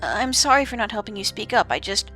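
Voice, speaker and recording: A woman voices a shy goddess of sorrow in an audio drama. She speaks quietly and hesitantly, apologetic, with soft music underneath. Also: a little high, expressive, fast. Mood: timid, apologetic. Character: timid, apologetic elven-eared sorrow goddess.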